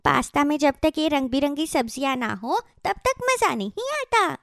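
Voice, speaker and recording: A woman's voice: sits high, animated, normal speed, creaky, no room sound, clean studio sound. A woman voicing a friendly, helpful squirrel in a children's cartoon, chirpy and eager. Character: friendly, helpful female squirrel friend who loves spices. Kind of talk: Hindi animated children's story dialogue.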